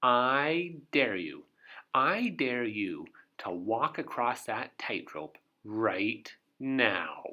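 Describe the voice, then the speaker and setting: A man voicing a mischievous little female storybook troublemaker, declaiming loudly and goadingly. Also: medium pitch, big pitch swings, extremely slow, highs cut off, full character voice. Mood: taunting.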